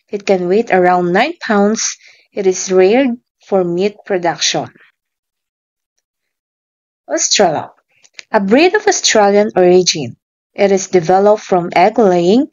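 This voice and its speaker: A woman teacher narrating a scripted lesson in measured short phrases. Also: medium pitch, a little mellow, highs cut off.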